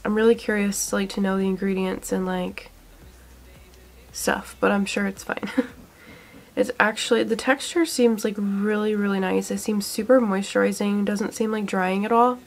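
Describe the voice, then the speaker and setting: A woman's voice: mid-range voice, fairly even intonation, moderate pace, gravelly. A young woman talks through a skincare test with a stuffy, congested voice from a cold. She chats eagerly and loosely, filling her talk with likes and ums. She sounds close to the camera in a small room. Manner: chatty, filler-heavy, eager. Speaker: beauty vlogger. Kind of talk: casual product review.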